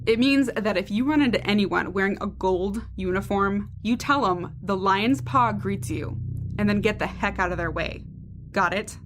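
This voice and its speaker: A woman's voice: mid-range voice, moderate pace, background noise, natural voice. Woman acting a cocky, sardonic female schemer. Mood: sly, commanding. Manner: sardonic, bossy. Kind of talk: audio drama acting.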